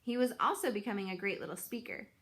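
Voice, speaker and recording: A woman reading a children's book aloud in an expressive, deliberate, dramatic storytelling style. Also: mid-range voice.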